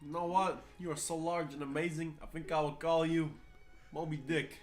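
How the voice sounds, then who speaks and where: A man voicing a smug, insulting cartoon cat with a deadpan, scornful manner. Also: a little high, moderate pace, faint music behind, natural voice.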